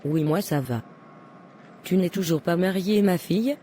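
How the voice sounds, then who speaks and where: Woman voicing a nosy patronising cartoon auntie, mellow dark voice, bit of background noise. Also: lowish voice, a little slow, close to an everyday voice. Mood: meddling, patronising. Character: meddling, self-satisfied married churchwoman, middle-aged mother. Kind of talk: cartoon voice acting.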